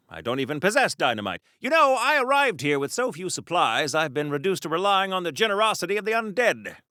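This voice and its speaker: An actor voicing a chipper, naive old-fashioned superhero for a video game, with theatrical, politely oblivious, mock-formal delivery in a 1940s radio style. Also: male voice, high-pitched, pushed and bright, no echo, studio quality, full character voice. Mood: breezy, quizzical.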